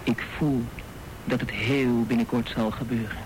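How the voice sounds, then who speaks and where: A woman voicing a mysterious fortune teller who speaks in slow, solemn, ominous pronouncements in a radio drama. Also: a bass voice, fairly even intonation, noisy background.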